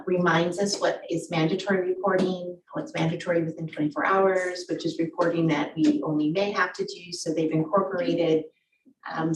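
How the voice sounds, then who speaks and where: A woman explaining a document in a matter-of-fact way at a school board meeting. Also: on the low side. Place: large meeting room. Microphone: desk microphone from afar. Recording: echoey room, distant mic, clear hiss.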